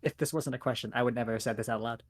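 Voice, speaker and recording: A man's voice: medium pitch, steady tone, racing. A young man co-hosting a podcast, making dry, wry remarks in casual chat. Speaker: podcast co-host.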